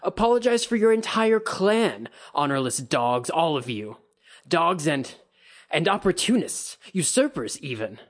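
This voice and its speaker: A drunk samurai bellows slurred insults, his voice jumping high and loud with contempt, as a man reads him aloud. He sounds belligerent and sloppy, spoiling for a fight in a storybook street brawl. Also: big pitch swings, measured pace. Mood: contemptuous.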